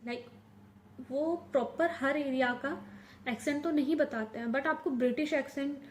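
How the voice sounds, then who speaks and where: A woman's voice: high-pitched, unhurried, a little hiss or hum. Young Indian woman, chatty advice vlog. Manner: chatty, mixes English words into her talk, many restarts. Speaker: vlogger. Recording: echoey room.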